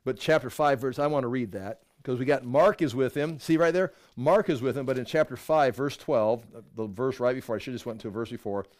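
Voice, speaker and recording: A man's voice: medium pitch, a little fast, hardly any pauses. Man teaching a casual Bible class. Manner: conversational, digressive, with wry asides and self-corrections.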